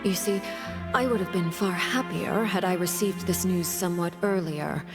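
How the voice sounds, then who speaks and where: An actress playing a composed, menacing noblewoman in a video game, speaking in a measured, formal, pointed way. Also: on the low side, close to an everyday voice. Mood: cool, probing. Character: composed, menacing noblewoman probing with cool questions. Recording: loud game music underneath.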